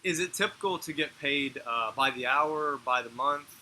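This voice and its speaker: A man's voice: medium pitch, moderate pace, pushed and bright, a little hiss or hum, low-quality recording. A man hosting an interview, asking short questions and giving brief acknowledgements. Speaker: interviewer, channel host.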